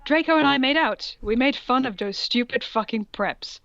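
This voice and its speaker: A woman's voice: high voice, moderate pace, creaky, fairly bright tone, no echo, highs cut off. A woman reading aloud in a casual comic style, with sardonic, sweary asides and a theatrical touch. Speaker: co-host reading fan fiction aloud.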